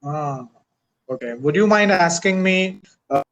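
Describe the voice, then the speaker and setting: A man's voice: high voice, lively intonation, measured pace, fairly bright tone, dull audio. A man from Pakistan, whose first language is Urdu, chatting on a video call in careful, hesitant English with frequent uhs. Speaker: English teacher and channel host. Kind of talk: casual video call chat.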